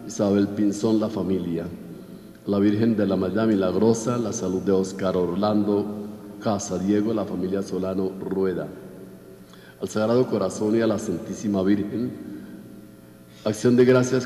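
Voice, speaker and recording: Low-voiced Colombian priest in an echoey church. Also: male voice, mellow. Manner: measured, list-like recitation of names. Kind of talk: reading out Mass intentions from a list. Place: large church, at the altar. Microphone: altar microphone. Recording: echoey church with long reverb.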